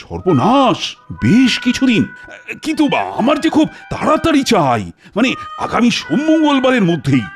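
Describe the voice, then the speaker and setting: A man's voice: very high-pitched, huge pitch swings, moderate pace, soft background music, exaggerated voice. A man playing a vain, anxious middle-aged king in a comedy cartoon, dramatic, fussy and commanding in manner. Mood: impatient. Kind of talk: Bengali comedy cartoon voice acting.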